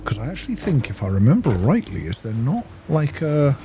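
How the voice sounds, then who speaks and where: A man's voice: mid-range voice, sing-song, fairly quick, music in the background. A man giving casual gameplay commentary, thinking aloud in an informal way. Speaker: gaming video host.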